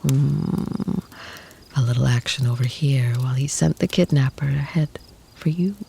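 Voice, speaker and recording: An actress plays a protective vampire woman in an intimate ASMR roleplay. Her voice is soft and often whispered, with a gravelly fry underneath. She speaks very slowly and formally, like one used to command, over a faint bed of noise. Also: very deep voice, exaggerated voice. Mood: reassuring, confiding. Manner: slow, soothing, doting, formal wording.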